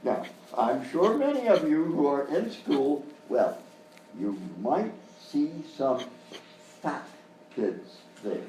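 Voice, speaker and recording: An actor playing a falsely cheerful, patronizing children's TV host in a live comedy parody. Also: male voice, on the high side, sing-song, slow, exaggerated voice. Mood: earnest lecturing. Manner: exaggerated jolly, patronizing. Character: forced-cheerful grown-up male children's TV show host. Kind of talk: parody of early local kids' TV, live sketch acting. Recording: roomy live stage, distant mic, faint noise.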